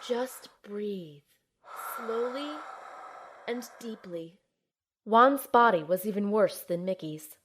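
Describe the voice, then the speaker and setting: A woman's voice: mid-range voice, slow pace, background noise, close to an everyday voice. A woman playing an earnest young healer in an audio drama, telling and acting out her own ordeal with soothing, emotionally involved delivery. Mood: soothing, urgent. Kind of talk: amateur audio drama, first-person narration and dialogue.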